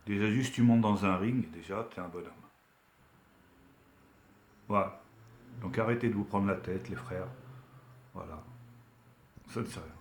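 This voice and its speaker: A man with a fairly low voice speaks in a casual, colloquial register, recorded in a noticeably echoey room. He is from Algeria and talks like an online commentator giving a frank telling-off, stressing his points emphatically. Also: a little slow, long pauses, a little hiss or hum. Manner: colloquial street French, emphatic, admonishing. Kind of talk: casual opinion rant to camera.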